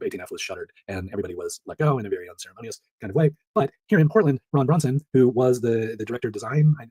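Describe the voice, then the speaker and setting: A man hosting a solo startup news recap in a chatty, upbeat way, his voice rough, nasal and stuffed up from allergies. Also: medium pitch, dry recording, low-quality recording. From Pacific Northwest. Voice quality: nasal, monotone. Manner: upbeat, chatty, laughs between items.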